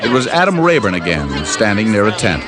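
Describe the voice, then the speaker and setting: Low-voiced, hard-boiled private eye. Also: male voice, big pitch swings, a little fast, a crowd in the background. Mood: tense, brisk. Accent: American. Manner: wry, needling, clipped hard-boiled talk. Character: tough, wry male private detective, hard-boiled narrator. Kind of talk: 1940s-50s radio detective acting and narration. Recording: old radio recording, music behind.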